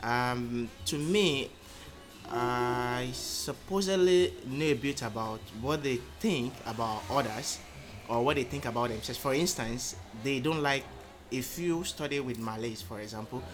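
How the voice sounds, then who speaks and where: A man, a podcast guest telling his story in measured, thoughtful speech full of um pauses. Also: medium pitch, music in the background. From Nigeria. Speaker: interview guest. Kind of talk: casual conversational storytelling.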